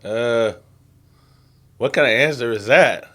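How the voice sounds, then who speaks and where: A man's voice: medium pitch, takes it slowly, sharp tone. A man giving casual, slangy reaction commentary as the host of the video. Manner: colloquial, slangy.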